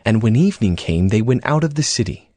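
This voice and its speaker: A man's voice: on the low side, sing-song, fairly quick, darkish tone. A man narrating scripture from a script, as the storyteller of a dramatized reading. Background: music.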